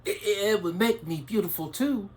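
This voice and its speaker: A storyteller voicing a gentle gray dove who asks shyly to be noticed, with a hesitant, pleading manner. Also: medium pitch, moderate pace, pitched above the performer's own voice, lightly acted. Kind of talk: storytelling with voiced characters.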